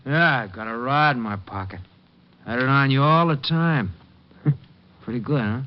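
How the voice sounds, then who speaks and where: An actor playing a dim-witted pug-nosed thug who talks slow and slangy in an old radio drama. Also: male voice, medium pitch, lightly acted. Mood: dopey. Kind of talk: 1940s radio acting. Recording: dull lo-fi old radio recording with faint hiss.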